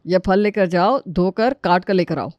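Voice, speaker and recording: A woman puts on a brisk man of the house for a storybook drama. His voice is lowered and plain-spoken, and the recording is dry and a little dull. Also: darkish tone, no echo, lightly acted. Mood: bossy.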